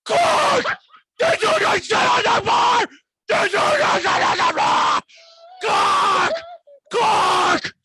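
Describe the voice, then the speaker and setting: A man mimicking a shrill, doting woman dog owner. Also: wildly swooping pitch, takes it slowly, vocal fry, a loud crowd, heavy background noise, exaggerated voice. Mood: scolding. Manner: shrill sing-song cooing that turns into yelling.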